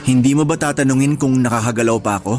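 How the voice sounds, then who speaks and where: A man's voice: mid-range voice, fast talker, a little mellow, soft background music, lightly acted. A Filipino man playing a shy, smitten young man on a first date in a radio drama, teasing and casual. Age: young adult.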